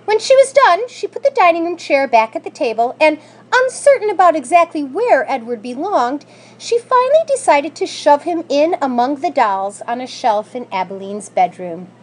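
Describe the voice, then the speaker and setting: A woman reading a children's story aloud in an expressive, scripted narrating style. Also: high voice, moderate pace. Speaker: school staff member reading aloud.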